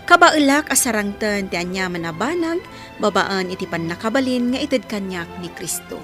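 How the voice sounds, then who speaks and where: A woman's voice: medium pitch, expressive, moderate pace, over music. A woman with an Ilocano accent reading a scripted devotional introduction as a radio program presenter.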